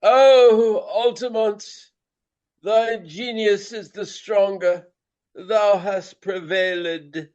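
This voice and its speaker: A man playing a pompous Regency gentleman and ham tragedian in a radio play, with grandiloquent, melodramatic delivery. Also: high-pitched, steady tone, extremely slow, muffled recording. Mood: melodramatic dying. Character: pompous Regency gentleman, a hammy amateur tragic actor. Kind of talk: declaimed theatrical radio play acting.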